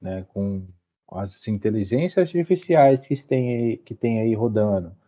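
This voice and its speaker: A man's voice: fairly low pitch, animated, measured pace. A man co-hosting a casual podcast chat, talking hesitantly with many restarts. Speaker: podcast co-host. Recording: dull lo-fi recording.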